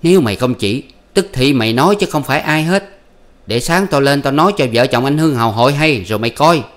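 A man voicing a sly, foul-mouthed peasant wife who scolds and curses in a high, loud voice. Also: lively intonation, moderate pace, natural voice. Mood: scolding, cursing. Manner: shrill scolding and cursing. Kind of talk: storybook reading.

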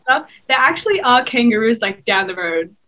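A woman's voice: a little high, big pitch swings, moderate pace, crowd noise. A young Australian woman, a college basketball player, talking casually over a video call with many fillers. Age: young adult. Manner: casual, chatty, lots of fillers. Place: small room, indoors.

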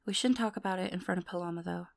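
A woman's voice: lowish voice, racing, creaky, no room sound, clean studio sound. A woman playing a composed, guarded ex-wife and mother in an audio roleplay. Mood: polite, guarded.

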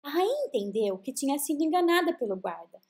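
Woman, Brazilian accent, high pitch, very lively intonation, children's storytelling, drawn-out emphasis. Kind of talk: scripted fairy-tale narration for children.

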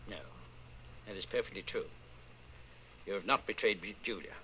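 An actor playing a cold, domineering inquisitor in a radio drama, speaking with deliberate, menacing authority. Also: male voice, lowish voice, pushed and bright, slightly rough, full character voice, rough recording. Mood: cold, patronizing. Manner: deliberate, commanding, interrogating. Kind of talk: old-time radio drama acting.

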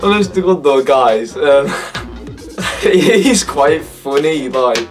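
A hoarse teenage young man with a Cumbrian accent, chatting and laughing in a casual reaction vlog from his bedroom. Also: fairly high pitch, lively intonation, takes it slowly, recorded from a distance, over music. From Cumbria, England. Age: teens. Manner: laughing, swearing, incredulous. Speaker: YouTuber. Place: bedroom, indoors. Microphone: webcam at desk.

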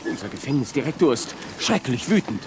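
An actor playing an anxious, worn-out young prison guard in a children's Western radio play, hesitant and stammering. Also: male voice, mid-range voice, sing-song, fairly quick, noisy background, natural voice. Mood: anxious, pleading. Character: nervous, exhausted young male prison guard.

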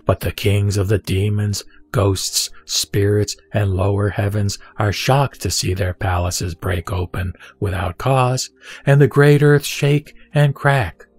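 A man reading the words of the Buddha as a calm, wise teacher, in a measured and solemn scripted recitation. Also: on the low side, slightly rough, no echo, faint music behind.

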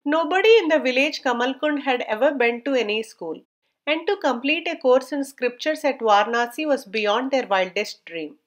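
A woman narrating a scripted story aloud in a measured, careful storybook manner. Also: high-pitched.

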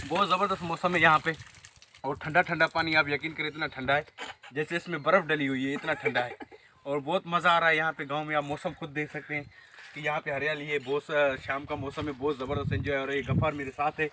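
A man's voice: on the high side, flat delivery, fast talker, background noise. A man in his thirties from Pakistan vlogging casually outdoors in a village, walking with a phone held at arm's length and teasing his friends. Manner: chatty, walks and points out surroundings, teases friends. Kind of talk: casual vlog narration. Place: outdoors in a village among fields. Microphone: phone held at arm's length, selfie framing.

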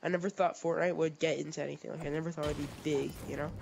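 A young man streaming a game, talking in a rambling, halting way with plenty of fillers, a little sniffly as he gets over a cold. His voice sits somewhat high with a gravelly fry, and faint noise hums under the headset mic. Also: level tone. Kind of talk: casual gaming chat.

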